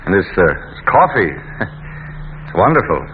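A wry middle-aged returning sergeant. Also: male voice, sits low, very animated, unhurried, a little hiss or hum. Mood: tender. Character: middle-aged army sergeant coming home, wry and steady. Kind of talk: 1940s radio acting with narration. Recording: tinny old radio broadcast.

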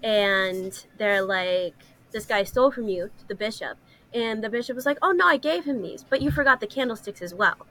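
A woman co-hosting a podcast, explaining stories in an animated, conversational way and jumping in readily. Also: mid-range voice, normal speed, low-quality recording. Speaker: podcast co-host. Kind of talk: casual discussion of stories.

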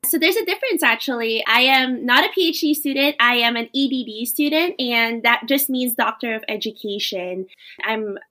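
A woman's voice: on the high side, normal speed, sharp tone. A young woman from the Philippines who works as a teacher, telling her story earnestly as a podcast guest. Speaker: guest, teacher and doctoral student. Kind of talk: podcast interview.

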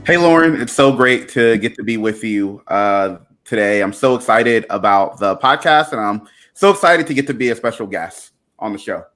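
A man in his thirties, a pastor from Liberia raised in Louisiana, speaking as an enthusiastic podcast guest who often stops to fill pauses with uh and um. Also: lowish voice. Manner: warm, enthusiastic, halting with many fillers. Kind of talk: podcast interview.

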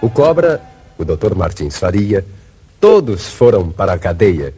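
Man, Brazilian accent, dramatic 1950s radio narration, lively intonation, mellow tone, old recording. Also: deep voice, unhurried. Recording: muffled vintage radio recording with music.

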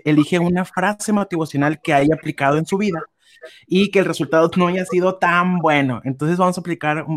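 A man hosting a podcast in a friendly, hesitant way, with many fillers. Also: on the high side. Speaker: podcast host.

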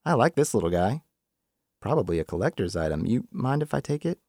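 A man's voice: medium pitch, big pitch swings, a little fast, darkish tone, no echo, clean studio sound, pitched above the performer's own voice. Man reading as a grumpy, teasing working-class guy. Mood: casual.

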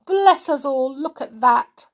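A woman's voice: sits high, very animated, measured pace, voice pushed up, full character voice. A woman doing a flustered, fretful Sally Simple. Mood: dismayed. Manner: breathless exclaiming, stammering.

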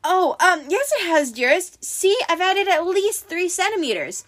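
A woman voicing a captive young male satyr who poses as a coy, flustered bride in falsetto for a storybook. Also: sing-song, normal speed, fairly bright tone, exaggerated voice. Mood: nervous placating.

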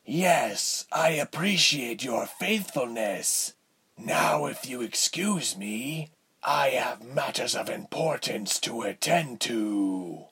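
A man voicing a condescending villain lord in a fantasy story, measured and smooth in manner with a breathy, husky tone. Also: mid-range voice, expressive, bright, forceful tone, pitched above the performer's own voice, full character voice. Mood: dismissive. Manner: measured, silky, haughty.

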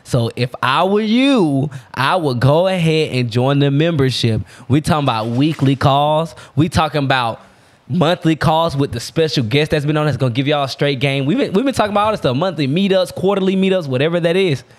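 Male podcast host, a bit high-pitched, hyped and slangy. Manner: hyped, slangy, quick to laugh. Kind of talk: casual podcast chat.

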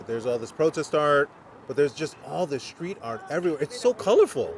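A man's voice: fairly high pitch, very animated, a little fast. A man who is a native New Yorker, co-hosting a podcast and chatting in a reflective, enthusiastic way at an outdoor city restaurant. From New York. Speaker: podcast co-host. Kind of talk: casual chat. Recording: faint street traffic noise behind.

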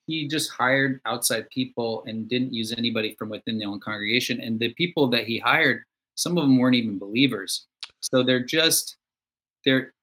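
A man's voice: mid-range voice, bright, forceful tone, breathy edge, poor audio. A man being interviewed as a songwriter guest, talking in a reflective, conversational way. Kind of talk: casual conversation.

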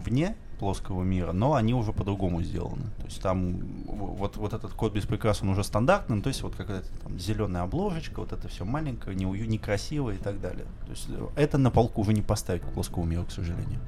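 A man's voice: on the low side, expressive, fast, hardly any pauses, background noise. A man co-hosting a podcast in casual chat, with a burred R in his speech. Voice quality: burred, non-rolled R. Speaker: podcast co-host. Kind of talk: casual banter, book chat.

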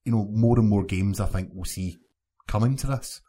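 Man, slightly deep pitch, relaxed podcast chat, panellist. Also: moderate pace, breathy edge. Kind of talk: casual podcast chat about video games.

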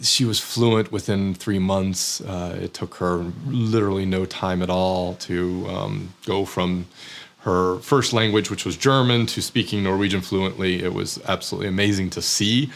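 A man's voice: deep voice, fairly even intonation, normal speed, vocal fry. An American man talking in a candid, self-deprecating way, with many fillers and restarts, about his own experiences as a teacher living abroad. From United States. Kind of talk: reflective personal monologue.

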